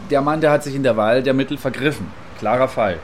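A man's voice: medium pitch, quick pace, close to an everyday voice. Guy playing radio reporter out in the field, stubborn opinionated type, musing but insistent, bit of background noise, radio drama. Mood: musing, defensive. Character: opinionated male field reporter, stubborn, quietly admiring, provocative. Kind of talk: radio play live report dialogue.

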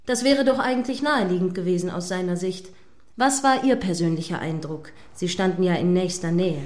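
A woman's voice: lowish voice, expressive, fairly quick, darkish tone, echoey room, natural voice. A woman playing an earnest, formal radio news anchor questioning a reporter in a radio play. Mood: earnest, concerned. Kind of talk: satirical radio play live news interview.

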